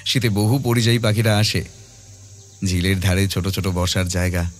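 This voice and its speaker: A man's voice: low-pitched, little pitch movement, moderate pace. A man narrating a scripted horror audio story in a dramatic storytelling style.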